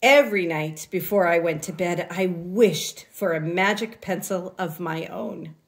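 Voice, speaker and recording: A woman reading a children's story aloud expressively, in a deliberate way with dramatic pauses. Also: fairly low pitch. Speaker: picture book reader.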